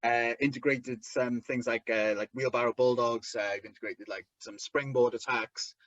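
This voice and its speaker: A man with a thick Welsh accent, a wrestler chatting as an interview guest, talking haltingly with lots of restarts and fillers. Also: mid-range voice, steady tone, fairly bright tone, no echo, lo-fi sound. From Wales. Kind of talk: casual interview chat.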